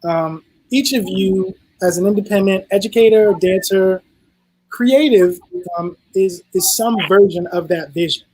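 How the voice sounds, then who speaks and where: A man moderating a dance panel over a video call, speaking in a measured way with small restarts. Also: high voice, a little mellow, rough recording. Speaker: panel host.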